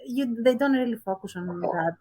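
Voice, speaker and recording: A woman co-hosting a casual podcast discussion, talking thoughtfully with pauses and emphasis on key words. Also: a little high, animated, darkish tone, slightly rough. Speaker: podcast co-host.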